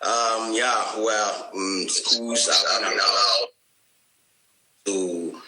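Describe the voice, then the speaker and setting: A man's voice: lowish voice, fairly even intonation, very slow, pushed and bright, roomy sound, low-quality recording. A man from Ghana, a guest evangelist on an online chat, speaking hesitantly with many fillers and restarts.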